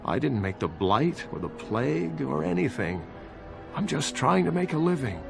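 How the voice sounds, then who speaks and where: A man's voice: a little high, sing-song, normal speed, gravelly, over music, heavily acted. An actor playing a weary, self-serving poor man in a video game, with a drawling, grudging manner.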